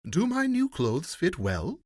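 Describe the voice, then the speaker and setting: A man puts on a vain storybook emperor. The voice is pushed high and swings about with pompous, preening self-regard. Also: huge pitch swings, slow pace, a little mellow, dry recording, clean studio sound, heavily acted.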